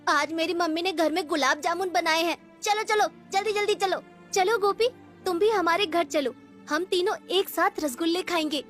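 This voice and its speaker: A woman acts a well-off child in a kids' cartoon. The voice is very high, chatty and a little bossy, with music behind it. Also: moderate pace, a bit bright. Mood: eager. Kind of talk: cartoon story character voice.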